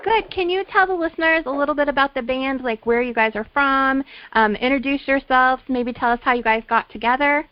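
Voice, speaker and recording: A woman's voice: sits high, a little fast, hardly any pauses, no room sound, highs cut off. A woman hosting a radio show, chatting to a band on the line with rambling restarts. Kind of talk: radio interview.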